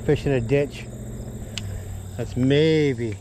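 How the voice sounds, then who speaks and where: A man's voice: medium pitch, drawn-out words, background noise. An older man hosting a fishing vlog outdoors, chatting in an easygoing way and talking to the fish as he reels them in. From Canada. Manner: easygoing, chatty, talks to the fish while reeling. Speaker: fishing channel host. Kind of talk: casual outdoor vlog narration. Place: outdoors by a ditch, opening in a vehicle.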